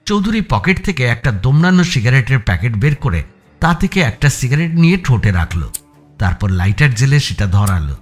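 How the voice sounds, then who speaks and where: A man narrating a suspense audio story as its protagonist, in a measured, dramatic storytelling style. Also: mid-range voice, big pitch swings. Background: background music.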